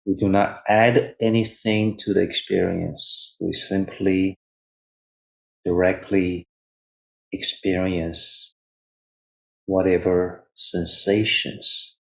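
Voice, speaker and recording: A man guiding meditation as a teacher, speaking in short, measured phrases with long pauses between them. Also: low voice, flat delivery, gravelly, rough recording. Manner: refers to himself in third person as teacher. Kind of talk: guided meditation instruction.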